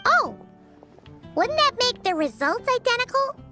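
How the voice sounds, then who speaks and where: An extremely high, squeaky fairy child, eager and full of questions. The voice swoops up and down in animated video game style. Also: female voice, unhurried, music in the background, exaggerated voice. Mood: curious, surprised. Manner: exclaiming, eager, inquisitive.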